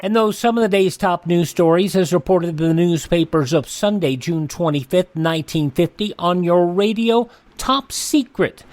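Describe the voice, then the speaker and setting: A man hosting a classic radio program, reading the news and the show introductions in a steady, announcer-like manner. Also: high voice, dry recording. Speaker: radio host.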